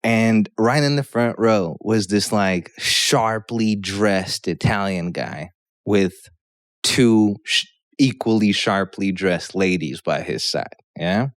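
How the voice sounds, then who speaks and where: Smooth low-voiced Polish-born man. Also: slow pace, dry recording, studio quality. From Poland. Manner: rambling, frequent "yeah?" check-ins. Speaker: guest comedian. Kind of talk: casual podcast storytelling.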